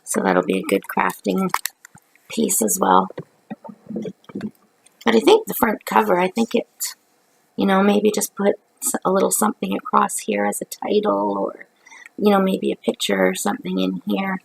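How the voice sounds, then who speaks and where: Hoarse, gravelly woman chatting on webcam. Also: medium pitch, lively intonation, normal speed, pauses often, no room sound, low-quality recording. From Canada. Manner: rambling, chatty, many ums. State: hoarse from a cold. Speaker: craft vlogger. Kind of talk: casual haul video. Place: living room at night, on a couch. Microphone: webcam at close range.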